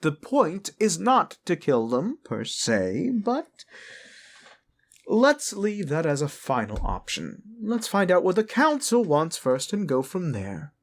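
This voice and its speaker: A man's voice: a little high, huge pitch swings, takes it slowly, pitched above the performer's own voice, full character voice. A man voicing a polite, scheming lich necromancer in a fantasy audio roleplay, genteel yet commanding and wheedling. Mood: pondering.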